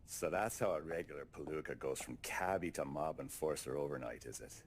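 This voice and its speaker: A very deep, sardonic hard-boiled interrogator. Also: male voice, fairly quick. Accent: American. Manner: sardonic, slangy, gruff. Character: skeptical, hard-boiled interrogator needling a mobster. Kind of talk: 1930s crime drama game acting. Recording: music behind the voice.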